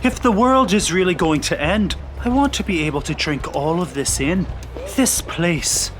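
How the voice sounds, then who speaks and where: A man's voice: high voice, expressive, normal speed, a bit bright. Casting: earnest, courteous young man, stubborn streak, very varied intonation, audio drama over music. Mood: wistful. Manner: polite, hesitant, earnest. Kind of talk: full-cast audio drama acting.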